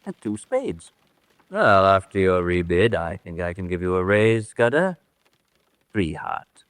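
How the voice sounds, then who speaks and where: An actor playing a middle-aged father and host in an old-time radio drama, formal, hospitable and quietly probing. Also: low voice, very animated, measured pace, no echo, heavily acted.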